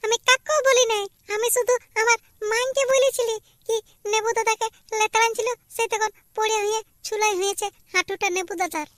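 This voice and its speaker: A man voicing a stubborn little village boy for an amateur cartoon, with a Purulia Bengali accent and a pitched-up voice. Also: fairly even intonation, moderate pace, few pauses, a bit bright, a little hiss or hum. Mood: eager, chatty. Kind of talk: amateur cartoon comedy dialogue.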